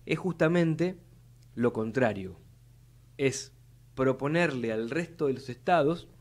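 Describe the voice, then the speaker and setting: A young man with a Buenos Aires accent talks policy at a studio microphone. His speech is formal and measured, with frequent restarts as he builds long sentences. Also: mid-range voice, lively intonation, a little mellow. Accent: Buenos Aires Spanish. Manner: formal, repeats words while building long arguments. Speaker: city legislator, guest. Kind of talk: measured policy talk on live radio. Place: radio studio. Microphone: studio mic on boom arm.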